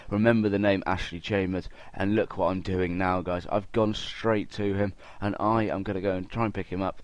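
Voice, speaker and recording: Low-voiced young man commentating gameplay. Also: no room sound. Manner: chatty, informal, addresses viewers directly. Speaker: gaming video host.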